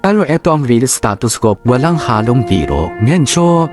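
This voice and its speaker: A man's voice: mid-range voice, very animated, normal speed, music in the background. A man narrating a first-person love story in a chatty, slangy storytelling manner.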